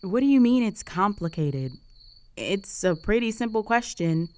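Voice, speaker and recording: A woman's voice: mid-range voice, expressive, unhurried, a little mellow, clean tone, lightly acted. A young woman playing a jealous, flustered roommate with a secret crush in an intimate romantic audio roleplay, speaking in a hesitant, conversational way. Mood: puzzled, insistent. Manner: conversational, hesitant, sighing, emotionally exposed.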